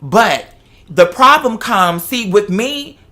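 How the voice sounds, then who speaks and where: A woman's voice: medium pitch, very animated, takes it slowly, pushed and bright. An American woman chatting casually and telling stories in a sassy, emphatic, conversational way. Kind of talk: casual chat, animated storytelling.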